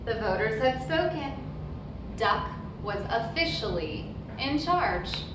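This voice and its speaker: A woman reading a children's picture book aloud as a storyteller, with deliberate, emphatic phrasing and brief asides. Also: a little high, big pitch swings. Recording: very echoey room, distant mic, noisy background.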